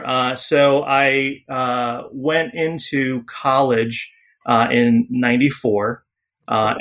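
A man in his forties from Taiwan, a psychiatrist speaking as a podcast guest, pausing often with fillers and restarts. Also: medium pitch, a bit bright. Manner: hesitant, many fillers and restarts. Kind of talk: podcast interview.

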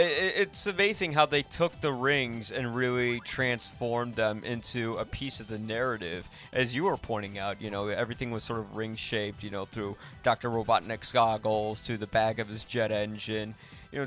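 A man's voice: medium pitch, a bit bright. A man talking enthusiastically and casually about films as a podcast host. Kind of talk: casual film discussion.

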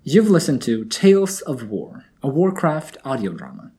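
A man's voice: mid-range voice, huge pitch swings, normal speed, dark tone. A man hosting and narrating a scripted fantasy audio drama as a storyteller. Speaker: narrator and host.

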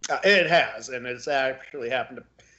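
A man co-hosting a podcast in casual discussion, speaking haltingly as he searches for his words. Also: medium pitch, bright, forceful tone, dull audio. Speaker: podcast co-host.

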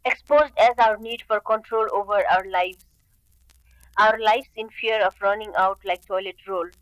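An actress playing a sombre woman poet who recites measured, repeating verse in a radio play. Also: medium pitch, steady tone, dry recording, close to an everyday voice, rough recording. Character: sombre woman poet reflecting on fear and blame.

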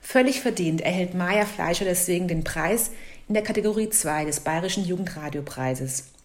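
Female jury member, slightly low voice, reading a prepared laudatory speech. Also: animated, normal speed. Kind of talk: scripted speech, read aloud.

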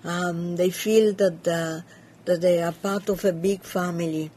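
A woman's voice: on the low side, steady tone, measured pace, mellow, slight background noise. Older woman with a Cuban Spanish accent. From Cuba. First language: Yiddish. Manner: hesitant, searching for English words. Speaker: community leader. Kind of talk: interview conversation.

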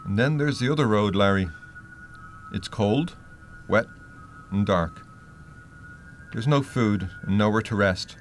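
An actor playing a stern, solemn spirit who guides the dead in a radio play, grave and deliberate. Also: male voice, fairly low pitch, soft background music. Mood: ominous.

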